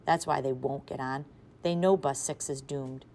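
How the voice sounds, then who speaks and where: A woman's voice: rumbling low, steady tone, moderate pace, dark tone. A woman voicing an anxious young boy who insists something bad will happen. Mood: worried.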